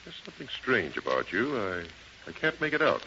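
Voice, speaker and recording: An actor playing a shrewd, suspicious gambling-house boss in a 1930s radio drama, American, clipped and authoritative. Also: male voice, fairly low pitch, huge pitch swings, brisk, pushed and bright, exaggerated voice. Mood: curious, approving. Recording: noisy, dull 1930s radio recording.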